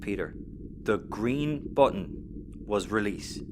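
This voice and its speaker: A man's voice: lowish voice, animated, slow pace, background noise. A man playing an exasperated, sardonic spaceship crewman in a sci-fi audio comedy.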